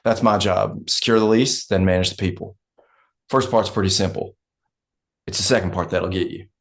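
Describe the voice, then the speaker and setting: A man's voice: deep voice, moderate pace, creaky. A man playing a blunt, world-weary oil company land man in a script read. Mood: matter-of-fact. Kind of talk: dramatic script table read, monologue.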